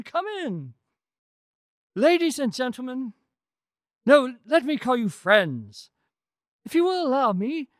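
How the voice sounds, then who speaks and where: A man's voice: extremely high pitch, no room sound, studio quality, full character voice. A man playing a jolly, hearty old merchant in a radio drama, full of exuberant exclamations.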